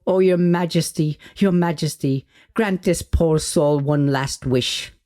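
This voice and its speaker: A man's voice: a little high, slow pace, darkish tone, smooth, pitched above the performer's own voice. A man voicing a poor, panicky tailor in an audio folk tale, whining and pleading frantically. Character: panicky, pleading, down-on-his-luck male tailor.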